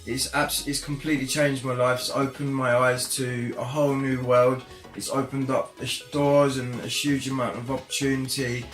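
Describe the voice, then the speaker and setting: A man's voice: medium pitch, level tone. A young man talks eagerly but with little melody, tripping over his words and starting again. His voice echoes in a roomy space, and faint music plays behind him. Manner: enthusiastic, halting with restarts. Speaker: programme participant. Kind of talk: unscripted testimonial.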